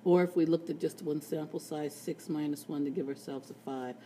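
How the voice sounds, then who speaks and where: A woman teaching a statistics lesson in a screencast, with a raspy voice and playful, chatty asides. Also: sits low, fairly even intonation, fairly quick, mellow, slight background noise. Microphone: tablet screen recording.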